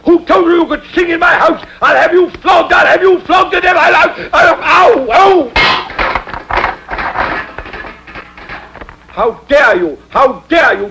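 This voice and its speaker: An actor playing a pompous, hot-tempered master of a house who flies into screaming tantrums. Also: male voice, medium pitch, a little hiss or hum, rough recording. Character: pompous hot-tempered Egyptian master, middle-aged man.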